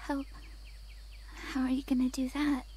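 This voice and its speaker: Soft, hesitant, troubled teenage girl. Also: sits high, little pitch movement, a little slow, slight background noise. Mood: anxious, apologetic. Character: troubled, apologetic teenage girl haunted by grief. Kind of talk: visual novel voice acting. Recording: music behind the voice.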